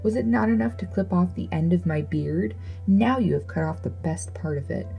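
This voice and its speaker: A woman acts out a bad-tempered little storybook dwarf man. He scolds and grumbles in a shrill, creaky snarl, full of scorn and ingratitude. Music plays behind him. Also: moderate pace, lo-fi sound. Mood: outraged. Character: ill-tempered, ungrateful little old dwarf man with a beard. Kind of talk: fairy tale storybook reading.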